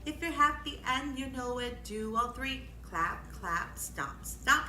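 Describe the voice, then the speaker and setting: High, bright woman leading children's storytime. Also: animated, takes it slowly. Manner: exclamatory, encouraging, prompting listeners with questions. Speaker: library storytime host for young children. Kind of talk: children's storytime with action songs and guessing games. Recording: echoey room, faint background noise.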